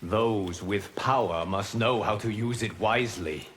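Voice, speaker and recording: Guy doing a calm wise god for a video game, lowish voice, formal and earnest, bit of noise behind. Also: measured pace, close to an everyday voice. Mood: stern. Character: calm, solemn male god, wise and merciful guardian.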